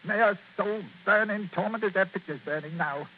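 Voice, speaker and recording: A man's voice: sits high, normal speed, natural voice. A man playing a bitter, self-pitying, miserly householder with a British accent, querulous and melodramatic in 1940s radio style. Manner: querulous, melodramatic, repeats himself. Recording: tinny, hissy old radio recording.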